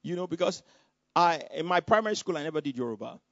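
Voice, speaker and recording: A Nigerian man preaches a testimony, his voice sitting a bit high and sounding dry and close. He tells the story in an animated way, repeating words and phrases as he goes. The sound is dull and lo-fi. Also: quick pace, dry recording. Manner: animated storytelling with repetitions and laughter. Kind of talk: sermon testimony.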